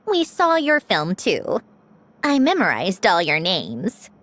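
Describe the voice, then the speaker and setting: A woman voicing a shy, earnest young woman in an anime game, speaking haltingly and awkwardly. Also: mid-range voice, huge pitch swings, fairly bright tone, slight background noise, exaggerated voice. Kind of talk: anime video game voice acting. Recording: music playing behind the voice.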